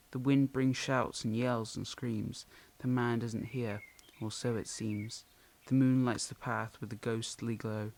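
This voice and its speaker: A man's voice: mid-range voice, a little hiss or hum, lightly acted. A young man playing a self-doubting, poetic farmer's son in an amateur audio drama.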